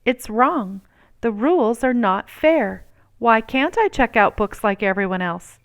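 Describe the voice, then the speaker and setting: A woman's voice: a little high, animated, measured pace. A woman voicing an earnest, determined and polite nine-year-old boy in a storybook. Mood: pleading.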